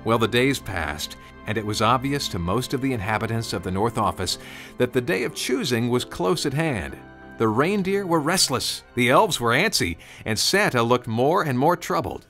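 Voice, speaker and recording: A man's voice: mid-range voice, lively intonation, normal speed, fairly bright tone, faint music behind. A man narrating a scripted story in a folksy, conversational way, with asides to the listener.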